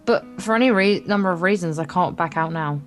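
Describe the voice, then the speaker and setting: Female let's play co-host, casual game narration, lower-than-average register, faint music bed. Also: fairly quick. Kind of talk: reading visual novel narration aloud with casual asides.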